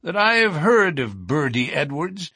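Man putting on a high-voiced older lodge brother. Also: sing-song, unhurried, pushed and bright, husky, no room sound, exaggerated voice. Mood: grave interjection. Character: older male lodge brother, emphatic and formal.

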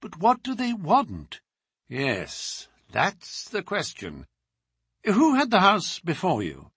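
Man playing a shrewd, sardonic detective, forceful delivery. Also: high-pitched, wildly swooping pitch, takes it slowly, breathy edge, no room sound, studio quality, voice pushed up, heavily acted. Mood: brisk, severe. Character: shrewd male detective, sardonic, commanding, teasingly polite.

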